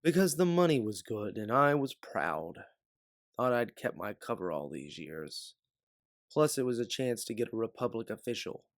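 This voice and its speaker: A man playing an older, protective bounty hunter mentor in an audio drama, speaking in an earnest, measured way. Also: lowish voice, very animated, no room sound, studio quality. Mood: confessional. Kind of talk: dramatic audio fiction acting.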